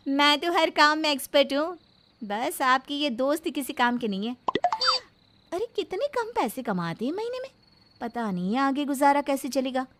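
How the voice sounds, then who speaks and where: A woman acts out a quarrelsome young daughter-in-law from a domestic storybook tale. She is arrogant and boastful, with a high voice and a taunting, haughty delivery. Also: big pitch swings, unhurried, long pauses, fairly bright tone, slight background noise, heavily acted. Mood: boastful, mocking.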